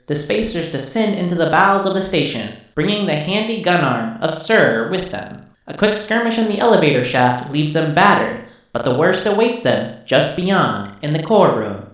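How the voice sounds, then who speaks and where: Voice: mid-range voice, fairly bright tone. A performer voicing a computerized memory archive that narrates a scripted recap in a sci-fi game show. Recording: very echoey, distant sound.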